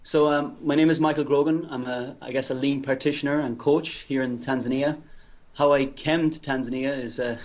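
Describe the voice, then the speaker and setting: Irish male guest on Skype, monotone delivery, mid pitch. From Ireland. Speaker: guest, lean coach. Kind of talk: interview over Skype. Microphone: Skype call.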